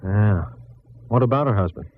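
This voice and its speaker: An actor playing a good-natured American police detective, dry and matter-of-fact, in 1940s radio style. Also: male voice, on the low side, expressive, normal speed. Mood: puzzled, attentive. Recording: muffled, noisy vintage radio recording.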